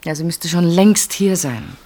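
A woman's voice: fairly low pitch, animated, a little slow, mellow. An actress playing a reserved, anxious woman in a radio play, speaking in restrained, thoughtful replies. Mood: worried. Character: reserved, worried woman, the husband's sister-in-law Marianne. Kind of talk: radio play acting, intimate dialogue.

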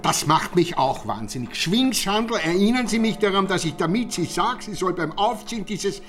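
An irritable gentleman of standing in a radio drama. He has a fairly high Austrian voice and a halting, patronizing manner that often repeats itself, with light music behind. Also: expressive. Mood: exasperated.

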